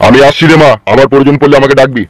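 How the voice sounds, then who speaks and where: A man's voice: medium pitch, level tone, rapid-fire, no echo, soft background music, close to an everyday voice, rough recording. A man voicing a pompous, brusque village tantric holy man in a comic cartoon, with a grandiose manner. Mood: boastful, brusque.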